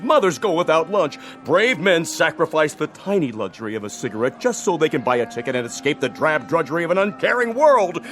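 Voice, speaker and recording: An actor playing a persuasive older movie producer, sentimental and theatrical, in a radio drama. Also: male voice, high-pitched. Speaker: film producer. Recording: studio radio play with music.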